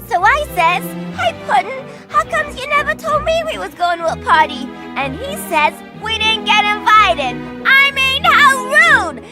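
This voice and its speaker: Cartoon game villainess: extremely high, squeaky New York voice, gleeful, smitten and brash, swooping delivery, loud music behind. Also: female voice. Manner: brash, playful, exclamatory. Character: gleeful, smitten, mischievous female villain sidekick. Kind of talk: animated video game cutscene acting.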